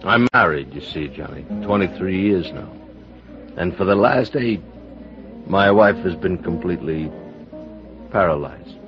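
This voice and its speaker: Boozy, embittered producer, middle-aged, theatrical and rambling, low register, for a dull-sounding vintage radio play. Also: male voice, lively intonation, unhurried, long pauses, music in the background. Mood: sad, heavy. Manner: rambling, mock-grand, chuckling. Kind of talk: old-time American radio drama acting. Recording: muffled old radio broadcast recording.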